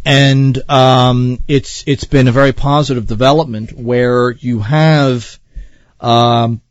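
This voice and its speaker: A man's voice: mid-range voice, steady tone, slow, no echo, lo-fi sound. A man hosting a radio talk show, lecturing earnestly with repeated phrases and frequent fillers. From Ireland.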